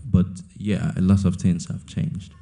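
Deep, flat-voiced young man from Nigeria. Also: measured pace, creaky. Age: 20s. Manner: thoughtful, repeats words as he gathers thoughts. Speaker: author being interviewed. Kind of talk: onstage interview.

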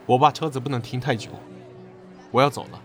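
An actor playing a cold, impatient, dismissive boyfriend in a radio drama. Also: male voice, mid-range voice, steady tone, brisk, close to an everyday voice. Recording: music behind the voice.

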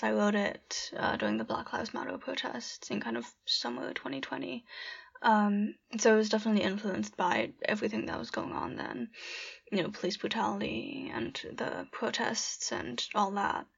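A woman's voice: on the high side, creaky, slightly rough, dull audio. A young woman introducing and reading a poem she wrote. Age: young adult. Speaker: poet.

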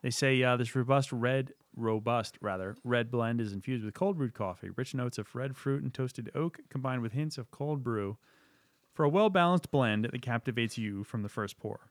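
A man's voice: mid-range voice, normal speed, no room sound. A man with a mid-range voice and a dry, sarcastic way of talking, chatting casually as a co-host. His voice is close and dead, with no room sound at all. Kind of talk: casual chat.

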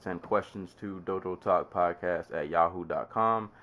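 A man's voice: sits low, normal speed, a bit bright. A man hosting a casual podcast while congested with a summer cold, laughing and coughing often. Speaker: podcast host.

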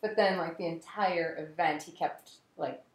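A woman's voice: lowish voice, moderate pace, reverberant. A woman, a podcast guest, telling a story in casual chat and acting out quoted lines. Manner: animated storytelling with quoted voices.

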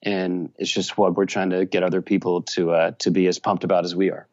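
Brief: man from Virginia, musician, rambling answers, lowish voice, lo-fi sound. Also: little pitch movement, fairly quick, a little mellow. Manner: rambling, many fillers and restarts. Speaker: musician and journalist. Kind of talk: casual interview answers.

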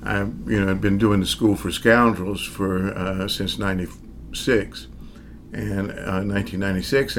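A man in his sixties, a magician, telling his story in an interview at an unhurried pace, pausing often with fillers and chuckling now and then. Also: on the low side, a little hiss or hum. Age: 60s. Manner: hesitant, many pauses and fillers; reflective, chuckles as he talks.

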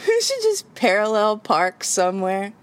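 A woman's voice: medium pitch, sing-song, unhurried. A woman co-hosting a casual podcast, chiming in with dry, teasing quips. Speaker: podcast co-host. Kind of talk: casual chat. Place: parked car. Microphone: home podcast mic.

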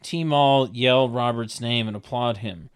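A man's voice: mid-range voice, unhurried, clean tone. A man reading stage directions as scripted narration for a comedy table read, literal and tongue-in-cheek.

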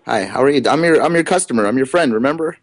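A man's voice: medium pitch, expressive, fast talker, no echo, studio quality. A man making a casual prank phone call, chatting in a rambling, overly friendly way as if he knows the person. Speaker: prank caller.

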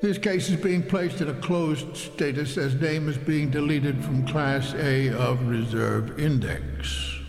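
An actor in a radio drama playing an impersonal FBI agent who reads a report in a formal, detached way. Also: male voice, a little high, takes it slowly, hardly any pauses, darkish tone. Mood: cold, official. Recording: echoey hall reverb over music.

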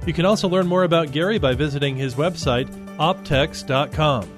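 A man reading a scripted introduction for a radio show, in a smooth promotional delivery. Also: on the high side, a little fast. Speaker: show announcer. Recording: announcer over loud music bed.

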